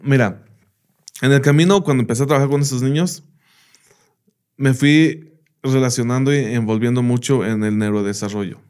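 Man telling lively stories, native English speaker. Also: medium pitch, normal speed, pauses often, smooth. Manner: lively anecdotes, fillers like "bla, bla" and "¿me entiendes?". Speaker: teacher, podcast guest. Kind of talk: casual interview storytelling.

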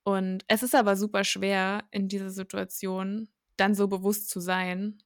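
A woman's voice: mid-range voice, level tone, a little slow, no echo, studio quality. A young woman co-hosting a podcast in a casual, supportive way, guiding the conversation in an orderly manner. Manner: supportive, structured, moderating. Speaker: podcast co-host.

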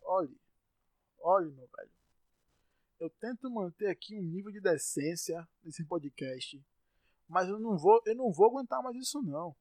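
A Brazilian man hosting his own podcast, ranting in exasperation, with sudden loud outbursts and stumbling, repeated words when he gets worked up. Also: high-pitched, animated, measured pace, long pauses, dry recording. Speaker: podcast host. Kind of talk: solo podcast rant.

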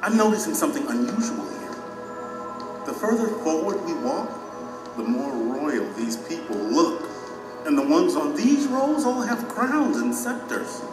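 An actor playing a proud former mayor in an audio drama, bewildered, hesitant and earnestly questioning himself. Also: male voice, on the high side, sing-song, unhurried, far from the mic. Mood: astonished. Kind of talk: allegorical audio drama acting. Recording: echoey hall, music behind, off mic.

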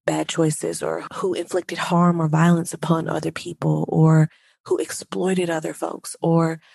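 Woman, podcast host, stuffy nose, gravelly, dry close sound, conversational solo talk. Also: deep voice, little pitch movement, measured pace, darkish tone, no echo. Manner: conversational, addresses listeners directly.

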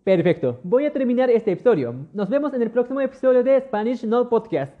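A man's voice: very high-pitched, lively intonation, fairly quick, phone-quality sound. A man from Japan with a Japanese accent, hosting a scripted solo podcast in an earnest, lightly humorous teaching tone. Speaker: podcast host. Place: lives in Mexico.

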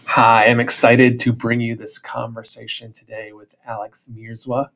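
A man's voice: medium pitch, monotone, normal speed, vocal fry, fairly bright tone. A man hosting an interview podcast, chatting casually in a friendly way that often stumbles over repeated words. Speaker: podcast host, professor.

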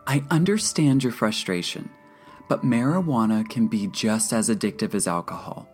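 A woman voicing a patient, measured female addiction counselor in an audiobook, calm but firm. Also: rumbling low, faint music behind, pitched above the performer's own voice. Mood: placating.

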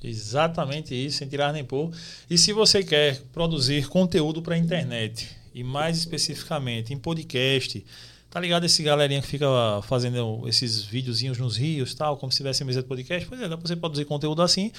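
A man, co-hosting a podcast in a relaxed, slangy manner. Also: on the high side. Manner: relaxed, slangy, quick interjections. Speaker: podcast co-host. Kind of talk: casual podcast chat and studio plug.

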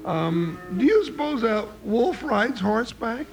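An actor playing a big, timid, good-natured comic deputy in a radio western, folksy and hesitant, with an American Western drawl. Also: male voice, sing-song, measured pace, music in the background, heavily acted. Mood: comic unease. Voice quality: high, creaky voice. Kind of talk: 1950s radio western acting.

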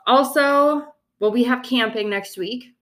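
A woman's voice: fairly high pitch, measured pace, fairly bright tone. A woman talks in a loose, chatty way, laughing often and filling her sentences with "like" and "um". A head cold leaves her voice stuffy and nasal. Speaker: podcast co-host. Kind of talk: casual chat.

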